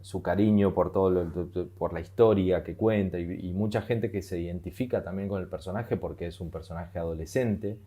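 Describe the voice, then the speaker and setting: A man's voice: low-pitched, moderate pace, hardly any pauses, mellow. A man with an Argentine accent co-hosting a podcast in casual chat, hesitant and full of fillers and repeated words. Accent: Argentine Spanish. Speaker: podcast co-host.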